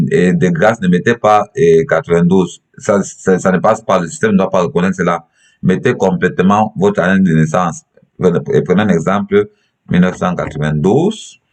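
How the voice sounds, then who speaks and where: A young man with a Cameroonian accent and a very low-pitched voice presents a step-by-step tutorial from his computer. He goes haltingly, often repeating words and phrases as he explains each step. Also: a little mellow.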